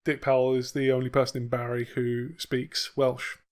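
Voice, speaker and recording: A man's voice: mid-range voice, monotone, normal speed. A young man co-hosting a podcast, recapping a sitcom in loose, rambling casual chat, swearing and laughing often. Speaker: podcast co-host.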